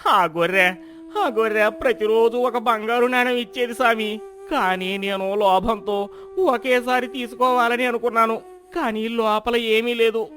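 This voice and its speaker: An actor playing a greedy, stubborn village husband in a dramatized moral story, speaking in an emphatic, theatrical way. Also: male voice, extremely high pitch, steady tone, measured pace, fairly bright tone. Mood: anguished, remorseful. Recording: music behind the voice.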